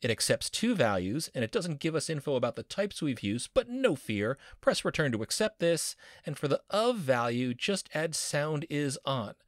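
A man's voice: on the high side, big pitch swings, moderate pace, few pauses, no echo, clean studio sound. A man teaching a screen-recorded coding lesson as a university instructor, upbeat and playful with jokey wordplay.

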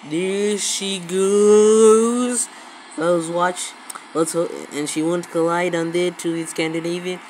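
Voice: mid-range voice, fairly even intonation, takes it slowly, darkish tone, low-quality recording. A woman giving casual gameplay commentary as a video creator, often repeating words and phrases, recorded on a handheld phone. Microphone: handheld phone filming a screen. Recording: faint background noise, amateur phone recording.

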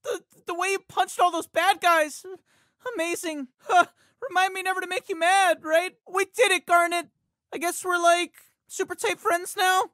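A man's voice: very high-pitched, little pitch movement, moderate pace, sharp tone, dry recording. A man voicing a nervous, stammering teenage boy in a video game, tripping over and repeating his words, recorded on a studio microphone. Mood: jittery, excitable. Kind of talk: game voice lines.

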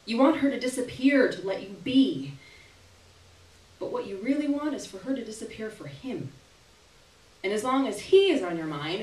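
A young adult woman giving an expressive, dramatic reading of literary fiction on stage, acting out the dialogue. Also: fairly high pitch. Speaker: performer reading a short story. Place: small indoor gallery with audience. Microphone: camera at a distance from stage. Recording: echoey room, distant microphone.

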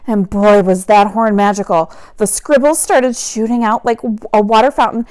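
A woman teacher reading a children's story aloud in a dramatic, expressive manner, drawing out key words for emphasis. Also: medium pitch, normal speed. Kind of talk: animated picture-book read-aloud.

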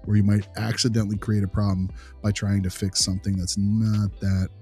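A man's voice: fairly low pitch, little pitch movement, fairly quick, darkish tone, faint music behind, close to an everyday voice. A man playing an easygoing behavior consultant, talking informally and reassuringly in a role-played meeting with a parent. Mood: frank, reassuring.

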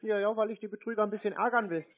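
A man's voice: high-pitched, racing. A man making mocking prank phone conversation in a teasing, sarcastic manner, as the host of the calls. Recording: narrow tinny phone line.

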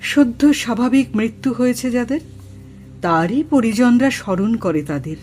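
Woman acting slow, grave female spirit medium. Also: on the high side, darkish tone, faint music behind, natural voice. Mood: grave, cautioning. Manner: formal, measured, solemn. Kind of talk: Bengali horror audio drama acting.

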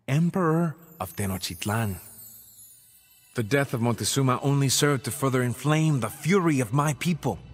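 A proud Aztec emperor telling of his people's war in a video game cutscene. The delivery is solemn and theatrical, with measured phrasing that rises and falls widely around a mid-range pitch. Loud music plays behind the voice. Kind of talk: dramatic scripted cutscene narration. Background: background music.

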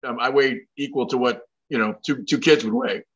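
A man's voice: medium pitch, steady tone, a little fast, highs cut off. An older man hosting a casual interview over a video call, asking rambling questions and making self-deprecating jokes. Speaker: host.

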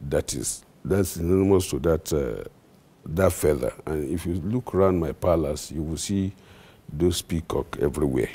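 A Nigerian man with a low, gravelly voice and a dark, mellow tone. He is a traditional ruler answering interview questions, pausing often and filling gaps with hesitations. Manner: halting, many fillers.

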